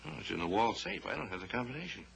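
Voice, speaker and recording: An actor playing a nervous, weak-willed lawyer who talks smoothly but with hesitation in a 1950s radio drama. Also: male voice, fairly low pitch, very animated, very fast, a bit bright, exaggerated voice. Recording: old radio recording with faint hiss.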